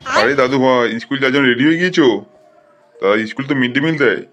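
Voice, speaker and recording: Man voicing a quick-talking old cartoon grandfather. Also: medium pitch, a bit bright, lightly acted. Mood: mild, trusting. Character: stingy, unlettered old grandfather, trusting until angered. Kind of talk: amateur cartoon voice acting. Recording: music under the voice.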